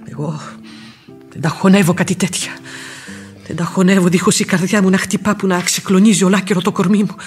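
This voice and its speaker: An actress playing a tough, fiercely loyal old nursemaid in a radio play, earthy, outspoken and emphatic, with a husky voice. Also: female voice, medium pitch, steady tone, faint music behind, close to an everyday voice. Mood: bitter, vengeful. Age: older.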